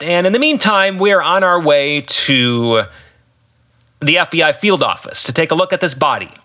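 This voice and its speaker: A man from the United States hosting a podcast, giving informal solo commentary on his own book with halting pauses. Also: a little high, pushed and bright.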